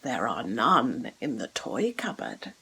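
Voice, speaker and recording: A woman's voice: rumbling low, wildly swooping pitch, slow pace, pushed and bright, slightly rough, pitched below the performer's own voice, exaggerated voice. A woman voicing a wise, mysterious male sage in a children's storybook, speaking in a riddling, storytelling manner.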